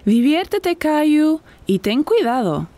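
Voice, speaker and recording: A woman's voice: high voice, sing-song, takes it slowly, mellow, exaggerated voice. A woman voicing a kind, cheerful, attentive mother in a children's cartoon, explaining simply and encouragingly.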